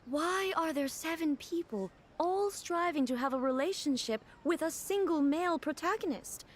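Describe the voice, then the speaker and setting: An actress playing a dignified, composed noblewoman in a video game, speaking in a measured, formal, earnest way. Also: high-pitched, expressive. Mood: earnestly puzzled.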